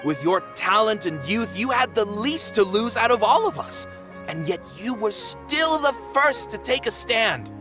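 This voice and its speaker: A man's voice: sits high, big pitch swings, measured pace, over music. An actor playing an earnest, caring middle-aged male theater owner. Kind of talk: game voice acting.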